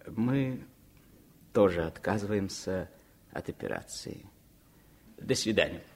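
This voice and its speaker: A local doctor in a Soviet radio drama. The voice is deep and husky. He is grave, formal and resigned. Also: expressive, slow, darkish tone, exaggerated voice. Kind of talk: Soviet radio play acting.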